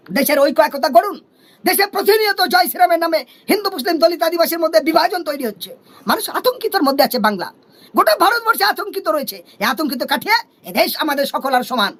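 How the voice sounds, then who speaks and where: A man's voice: extremely high pitch, fairly bright tone. A man giving a fiery, combative political speech as an activist, with impassioned, emphatic repetition. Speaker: human rights activist.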